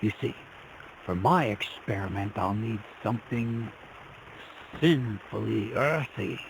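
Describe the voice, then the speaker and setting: An actor playing an eccentric miller with grand, cagey talk about secret experiments. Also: male voice, fairly low pitch, slow, creaky, a little hiss or hum, rough recording. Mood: grandiose.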